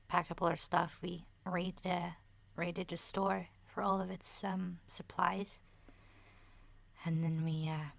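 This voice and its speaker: A woman acting a soft, breathy child survivor. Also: deep voice. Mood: weary, hushed. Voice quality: soft, breathy, partly whispered. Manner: hesitant, rambling, confiding. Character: chatty, nurturing child survivor of zombie apocalypse. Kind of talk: ASMR roleplay monologue, soft spoken and whispered.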